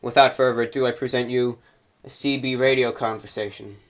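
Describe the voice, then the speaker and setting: A man's voice: medium pitch, steady tone, fairly quick, noisy background. A man hosting a radio hobby show, explaining in an informal presentation with slightly formal, stilted phrasing. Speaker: host of a shortwave radio show.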